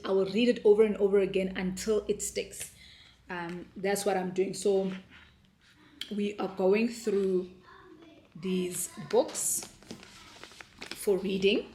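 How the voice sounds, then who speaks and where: A woman in her thirties with a South African accent, talking through her homeschool plans in a relaxed, casual way. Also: medium pitch, echoey room, slight background noise. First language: not Afrikaans. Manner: relaxed, many ums and restarts. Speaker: homeschooling mom and vlogger. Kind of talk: casual explainer vlog.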